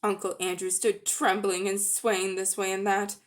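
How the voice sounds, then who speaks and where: A woman narrating a children's story as a dramatic reading, with expressive storytelling delivery. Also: mid-range voice, moderate pace. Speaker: audiobook-style narrator.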